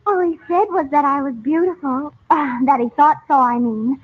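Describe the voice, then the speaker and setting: A woman's voice: high-pitched, normal speed, close to an everyday voice. An actress playing a curious, playful young niece with a girlish manner, in old-time radio drama style. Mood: flustered. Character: lively, teasing, curious young niece and sister. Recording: dull lo-fi old radio recording.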